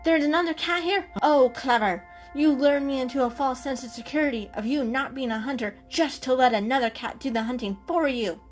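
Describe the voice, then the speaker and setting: A woman voicing a small, jumpy, suspicious bird with a shrill chirp and sarcastic delivery, in an animal storybook reading. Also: brisk, hardly any pauses, faint music behind, natural voice. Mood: accusing. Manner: sarcastic, excitable.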